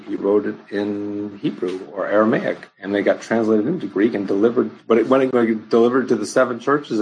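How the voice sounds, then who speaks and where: Man pastor, husky voice, loose conversational Bible teaching, lots of ums. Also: lowish voice, low-quality recording. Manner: conversational, many ums and restarts. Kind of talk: informal Bible study answering questions.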